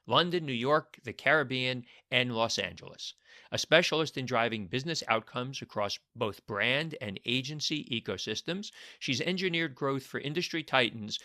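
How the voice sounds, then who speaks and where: Bright-voiced male podcast host. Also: mid-range voice. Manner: upbeat, energetic introductions, hesitant fillers in chat. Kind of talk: scripted intro then casual interview.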